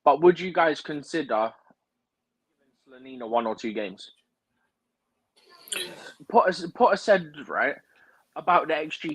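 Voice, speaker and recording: Guy ranting about his team's defending on a stream, kinda husky, dry sound, bit higher voice. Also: no room sound, low-quality recording. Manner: animated, opinionated, rambling with "like" and "right?". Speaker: guest pundit on a fan livestream. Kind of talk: casual football chat. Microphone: remote livestream mic, drifting in and out.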